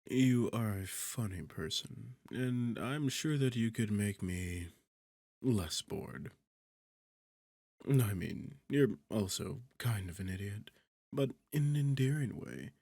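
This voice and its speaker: A sardonic demon king, acted by a man, low and gravelly, speaks close and dry in an intimate fantasy audio roleplay. He takes his time, teasing and condescending, with a bored, seductive arrogance. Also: expressive, slow, mellow, dry recording, heavily acted. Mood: teasing, amused.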